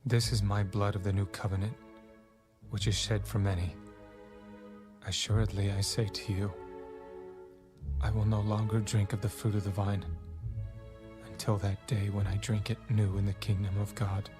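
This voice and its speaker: A man playing a solemn, gentle, sorrowful holy teacher in a dramatized audio Bible, speaking deliberately. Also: fairly low pitch, flat delivery, natural voice. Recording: music behind the voice. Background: music.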